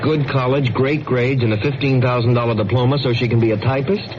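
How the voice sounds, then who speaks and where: A man's voice: mid-range voice, fairly even intonation, brisk, close to an everyday voice. An actor playing a middle-aged father, conversational and pointed, in a radio public service spot. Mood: indignant. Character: middle-aged father, indignant on his daughter's behalf. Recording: dull, noisy old radio broadcast.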